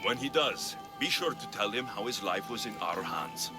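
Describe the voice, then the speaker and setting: A man's voice: lowish voice, animated, moderate pace, lightly acted. A man playing a principled young mercenary in a video game, speaking directly and firmly. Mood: stern, urging. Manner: direct, firm. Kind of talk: dramatic game cutscene dialogue. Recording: music bed behind voice.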